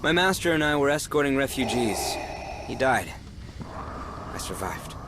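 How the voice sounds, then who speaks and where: A man's voice: medium pitch, very animated, moderate pace, background noise, close to an everyday voice. A man voice-acting a young, wry cyborg apprentice in a video game. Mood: dry, wry.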